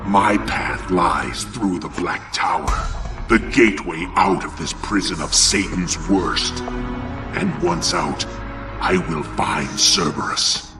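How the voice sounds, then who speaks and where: A man's voice: low-pitched, big pitch swings, creaky, far from the mic, over music, exaggerated voice. A man playing a bitter, vengeful half-angel, half-demon outcast in a theatrical, sardonic video game cutscene monologue. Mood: seething, vengeful. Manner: theatrical, brooding, deliberate, sardonic.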